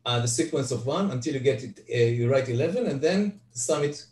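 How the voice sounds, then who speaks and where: An older Hebrew-speaking man, giving an online spreadsheet lecture from home over a headset, halting with frequent restarts. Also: mid-range voice, expressive, darkish tone, slight background noise. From Israel. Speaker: guest presenter. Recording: echoey, distant video call audio.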